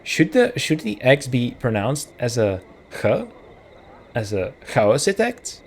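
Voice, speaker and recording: Let's-play host: a Czech-accented man of middle pitch, musing and correcting himself as he reads game dialogue aloud. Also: very animated, slow pace, slight background noise. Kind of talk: casual gameplay commentary, reading game text aloud.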